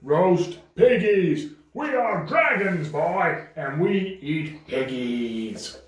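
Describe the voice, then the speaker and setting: A man's voice: a little high, animated, drawn-out words. A man voicing a bossy, blustering, greedy dragon father who scolds his son in a homemade children's puppet show. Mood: greedy, gloating. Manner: scolding, exclamatory, swooping. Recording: very echoey room, distant mic.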